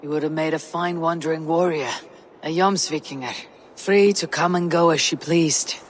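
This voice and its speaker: An actress playing a stoic, loyal female Viking raider with a measured, plain-spoken manner in a video game. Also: deep voice, steady tone, a little hiss or hum, lightly acted. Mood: admiring. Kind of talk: video game cutscene acting.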